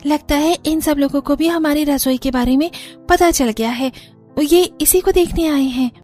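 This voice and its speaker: A woman's voice: high-pitched, moderate pace, mellow, soft background music, close to an everyday voice. A woman voicing an agreeable village woman in a cartoon, talking with family. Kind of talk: Hindi cartoon character dialogue.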